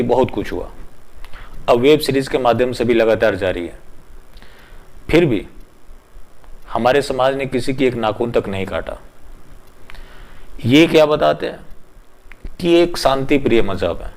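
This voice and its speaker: A male commentator with a mid-pitched voice addresses viewers directly on political matters. He speaks emphatically and accusingly, often posing rhetorical questions to press his point. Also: darkish tone, low-quality recording. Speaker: online commentator.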